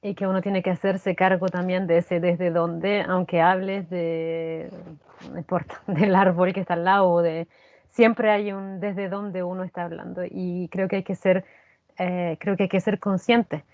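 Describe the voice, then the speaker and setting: A woman with a Chilean accent speaking reflectively and earnestly as an interview guest, halting and repeating words for emphasis. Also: fairly low pitch, level tone, no room sound, narrow, tinny audio. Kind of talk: reflective personal conversation.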